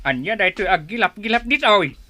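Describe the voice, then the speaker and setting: An actress playing a cranky, impatient older member of a household in a radio drama, barking repetitively with an Ilocano accent. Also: female voice, mid-range voice, animated, fast, pushed and bright.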